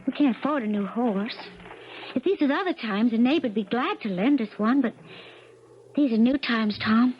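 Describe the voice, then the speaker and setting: Lady playing worried sweet country daughter, folksy n high voice, old muffled radio play. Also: moderate pace, natural voice. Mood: worried, sorrowful. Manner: pleading, earnest, folksy country speech. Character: worried, devoted young country woman, preacher's daughter. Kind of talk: 1970s radio drama acting. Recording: dull, noisy 1970s radio broadcast.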